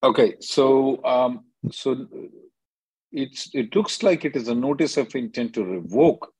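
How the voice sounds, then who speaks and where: A man with a husky voice, heard close and dry. He advises callers briskly and emphatically, repeating short phrases to drive a point home as he runs the call. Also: medium pitch, lively intonation, darkish tone, no room sound, low-quality recording. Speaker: immigration lawyer hosting a call-in.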